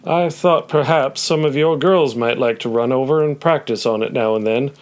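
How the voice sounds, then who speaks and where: A man plays an old gentleman of a period audio drama, a grandfather with a gruff edge and a kind heart. His speech is formal and measured, at an ordinary male pitch. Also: close to an everyday voice. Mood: kindly, formal. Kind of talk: audio play acting.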